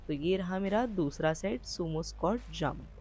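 A woman's voice: lowish voice, expressive, takes it slowly, darkish tone, faint music behind. A woman giving a fitness vlog voice-over, explaining exercises and reminding viewers about posture and rest. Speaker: fitness vlogger. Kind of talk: instructional voice-over.

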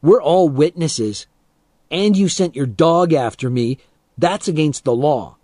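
Storybook young boy: man plays loyal, argumentative friend, urgent raised voice, expressive. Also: a little high, measured pace, no echo, clean studio sound, pitched above the performer's own voice. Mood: challenging. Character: bold young boy protecting his friend.

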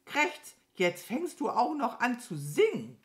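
A woman's voice: fairly high pitch, very animated, takes it slowly, pitched above the performer's own voice. A woman voicing a grumpy, contrary, boastful crow in a children's storybook, with a snappy, grumbling manner. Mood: irritated.

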